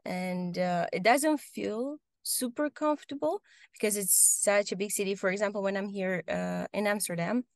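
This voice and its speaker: A woman's voice: medium pitch, normal speed, creaky. A young woman with a Romanian accent speaks on a video call from home, in a casual, hesitant way full of ums and small restarts. Her voice sits in a middle register. The sound is dry and close, with little room echo. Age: young adult. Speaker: guest. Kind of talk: casual interview answers.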